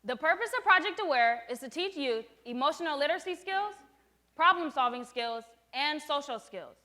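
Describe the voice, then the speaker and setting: A woman's voice: high-pitched, expressive, normal speed, pushed and bright. A young woman in her late teens giving a senior presentation and role-playing a group facilitator, explaining the rules firmly and in a lecturing tone. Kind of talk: student presentation with a scripted role-play.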